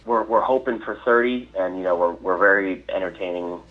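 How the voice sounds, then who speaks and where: Low-voiced man, hesitant seller on a muffled phone line. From Chicago area, USA. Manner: hesitant, halting, many restarts. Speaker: caller selling a car. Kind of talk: phone conversation. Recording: dull phone-call audio.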